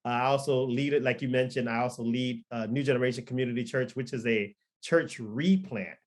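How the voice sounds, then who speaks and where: Guy in his 30s, pastor, talks loose and rambly with lots of ums, mid-pitched. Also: fairly quick. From Liberia, raised in Florida. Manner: rambling, many ums and restarts. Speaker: pastor and record producer. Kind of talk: relaxed testimony in a podcast interview.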